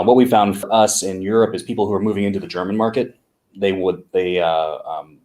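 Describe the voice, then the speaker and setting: A man co-founder of an agency, answering interview questions in an unhurried way with many fillers and restarts. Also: deep voice, a little mellow.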